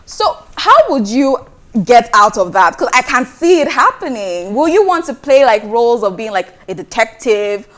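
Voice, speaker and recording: A woman's voice: a little high, very animated, moderate pace. A woman hosting a talk show interview, enthusiastic and effusive with her guest. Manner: enthusiastic and effusive, many backchannels. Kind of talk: casual interview chat.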